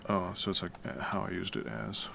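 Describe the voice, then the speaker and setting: A man with a deep baritone voice, chatting casually and rambling while he works, keeping his voice quiet in the middle of the night. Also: brisk, vocal fry. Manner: relaxed, rambling, swears casually; talks quietly to keep the noise down. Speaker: music composition streamer. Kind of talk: casual late-night talk while working. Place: home room at night. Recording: noticeable background noise with typing.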